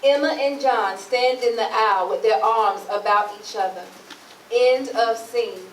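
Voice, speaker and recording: A woman's voice: a little high, measured pace. Woman narrator reading a play's stage directions, roomy echo, a bit far from mic. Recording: roomy, distant mic, noisy.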